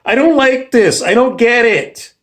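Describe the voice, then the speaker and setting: A man's voice: extremely high pitch, expressive, a little slow, a bit bright, pitched above the performer's own voice, exaggerated voice. A man acting out a baffled ten-year-old pupil who complains in exasperation during an informal anecdote. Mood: baffled, exasperated.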